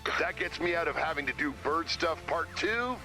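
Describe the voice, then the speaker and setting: A man's voice: on the high side, expressive, moderate pace, lightly acted. A man voicing a reluctant, sarcastic sidekick in a video game, wry in his delivery. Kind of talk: video game character voice acting. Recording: music behind the voice.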